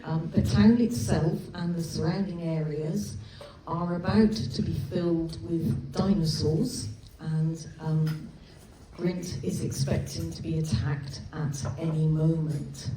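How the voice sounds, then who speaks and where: English author with a Gloucestershire accent reading to a live audience, unhurried, distant mic in an echoey hall. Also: female voice, deep voice, fairly even intonation, background noise. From England. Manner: chatty and self-deprecating, frequent ums. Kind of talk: live reading of her own novel.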